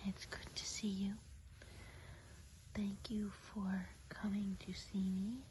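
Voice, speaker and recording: A woman playing a kindly, whimsical woodland witch in an ASMR role play, whispering softly, breathily and gently. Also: mid-range voice, little pitch movement, natural voice, poor audio. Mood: gentle, welcoming. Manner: slow, gentle, unhurried explaining. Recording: faint background noise.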